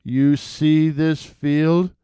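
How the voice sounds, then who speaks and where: Storybook undead villain, male narrator acting: commanding, sinister, drawn-out words, mellow smooth tone. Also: a little high, flat delivery, no echo, studio quality. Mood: grim command. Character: commanding male walking corpse, blood-drinking and sinister.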